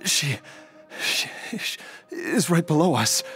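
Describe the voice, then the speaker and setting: An actor playing an ailing, troubled young man in a video game who struggles with lost memories, talking in a halting, pained way. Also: a little high, lively intonation, fairly bright tone, faint music behind. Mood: urgent. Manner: halting, pained, searching for words.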